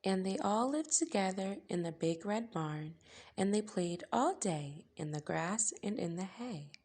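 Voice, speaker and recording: A woman reading a picture book aloud for young children as a storytime host, with measured, gentle phrasing and playful emphasis. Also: medium pitch, animated, breathy edge.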